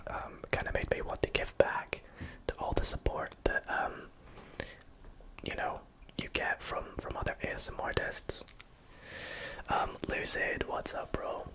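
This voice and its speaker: A person with a Scottish accent whispering softly into a close microphone while answering chat questions on a livestream, with frequent ums. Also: poor audio. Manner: soft whisper, reading chat aloud with many fillers. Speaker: ASMR streamer.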